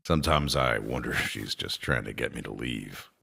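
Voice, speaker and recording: An actor playing a weary, bitter, irritable man in a sci-fi audio drama, with a rough, muttering delivery. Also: a bass voice, pushed and bright, heavily acted. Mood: bitter, upset. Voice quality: gravelly, rough.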